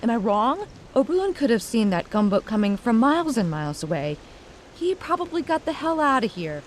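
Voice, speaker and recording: A woman's voice: fairly high pitch, big pitch swings, fairly quick, a little hiss or hum. An actress playing a resourceful, optimistic woman adventurer with a bantering, wry manner in an audio drama. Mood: insistent, hopeful.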